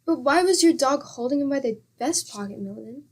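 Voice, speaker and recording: A woman's voice: sits high, expressive, brisk. A woman playing a patient, dryly teasing middle-aged wife in an old-time radio comedy, wry and chiding. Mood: curious.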